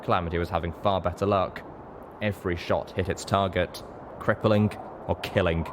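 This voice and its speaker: A man's voice: low-pitched, fairly even intonation, normal speed, background noise. A man reading a story aloud as scripted, dramatic narration. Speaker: audiobook narrator.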